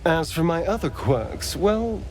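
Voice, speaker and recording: An actor playing a flamboyant, wry, charming vampire in a video game, with an arch, theatrical, drawn-out delivery. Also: male voice, on the high side, big pitch swings, noisy background. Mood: wry musing. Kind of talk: video game character acting.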